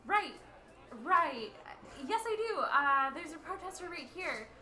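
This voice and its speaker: Girl acting as a flustered radio reporter lady, high bright voice, rambly and stammery, roomy echo, radio play. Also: lively intonation, measured pace, heavily acted. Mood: eager, flustered. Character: flustered, scatterbrained young female field radio reporter. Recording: roomy, echoing sound.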